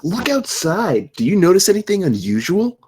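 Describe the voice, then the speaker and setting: A man playing a flippant, casually clueless twenty-eight-year-old in an animated comedy table read. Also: sits high, moderate pace, a little hiss or hum. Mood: oblivious.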